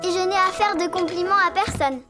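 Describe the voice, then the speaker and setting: Spoiled little girl elephant for a kids cartoon, super high voice, loud music behind. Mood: self-satisfied, longing. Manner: self-centred, exclamatory, drawn-out words. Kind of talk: children's cartoon dubbing. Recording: loud children's music underneath.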